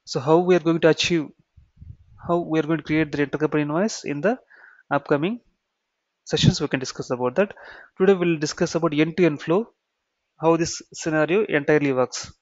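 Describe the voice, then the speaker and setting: A man with an Indian accent giving an explanatory software tutorial, repeating key phrases and checking in with "okay" and "right". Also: fairly high pitch, brisk, long pauses, no echo. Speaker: software trainer. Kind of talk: explanatory screen-share tutorial. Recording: dull, muffled lo-fi sound.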